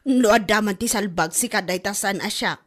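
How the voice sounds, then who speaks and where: A woman's voice: medium pitch, moderate pace, lightly acted. A woman acting as an envious, sickly village wife in an Ilocano comedy drama, whining and melodramatically aggrieved.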